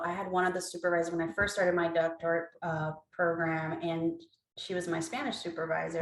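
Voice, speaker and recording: A woman on a panel telling warm anecdotes about her training, over a video call. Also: on the low side, echoey room, far from the mic, rough recording. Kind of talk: panel discussion.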